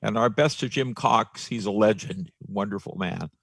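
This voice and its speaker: A man's voice: lowish voice, moderate pace, vocal fry, fairly bright tone, dry recording. A man chatting casually on an online club meeting as a visiting member. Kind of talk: casual greeting and chat.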